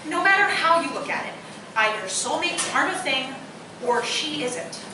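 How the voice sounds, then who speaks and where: A woman's voice: high-pitched, very animated, moderate pace. A woman acting in a live radio drama, playing a blunt, skeptical woman who still hopes for love. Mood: challenging, exasperated. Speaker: actor. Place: roomy live performance space, far from mic. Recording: very echoey, distant live recording, clear noise.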